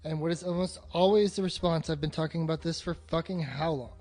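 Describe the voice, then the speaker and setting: A man's voice: fairly high pitch, fairly even intonation, quick pace, a little mellow, faint music behind. A man talking casually and emphatically about films as a podcast co-host, opinionated and swearing freely. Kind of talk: casual film discussion chat.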